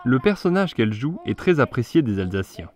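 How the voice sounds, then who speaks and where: A young man narrating a news report in a steady, scripted voice-over. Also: mid-range voice, fairly quick. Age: young adult. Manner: steady read delivery.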